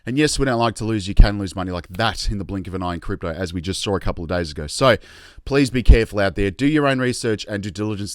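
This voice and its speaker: An Australian man hosting a casual investing vlog, chatty and excitable, tired and losing his voice. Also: on the low side, clear, resonant tone, dry recording, clean studio sound. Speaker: crypto YouTube host.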